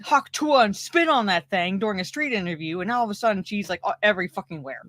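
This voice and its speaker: A woman's voice: mid-range voice, lively intonation, fast talker, a bit bright, no room sound. A woman co-hosting a casual stream chat, blunt and exasperated, swearing freely as she rants. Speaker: co-host and streamer.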